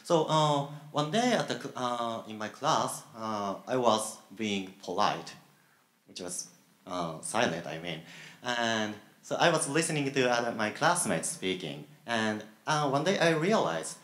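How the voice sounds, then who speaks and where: A young man with a Japanese accent on a graduate student panel, speaking haltingly with many fillers and pauses. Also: mid-range voice, big pitch swings, a bit bright. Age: young adult. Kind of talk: panel talk. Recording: echoey room.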